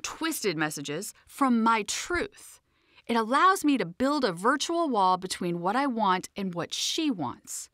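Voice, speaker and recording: A woman's voice: a little high, bright, forceful tone, dry recording, clean studio sound. A woman reading her own self-help audiobook in a conversational, emphatic and playfully informal way.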